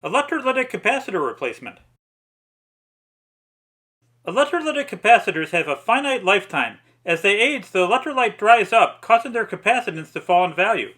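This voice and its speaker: A man's voice: high voice, big pitch swings, quick pace, few pauses, bright, forceful tone. A man reading a scripted step-by-step restoration narration in precise, methodical phrasing. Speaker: narrator of a radio restoration video.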